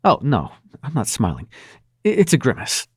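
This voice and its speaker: A man's voice: mid-range voice, huge pitch swings, slightly rough, no room sound, studio quality. A man playing a brash, flustered prince of nightmares in love, stammering and swearing through an intimate audio roleplay. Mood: candid, softened. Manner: halting, stammering, sweary and sardonic. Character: male supernatural prince of nightmares, brash, flustered, smitten lover.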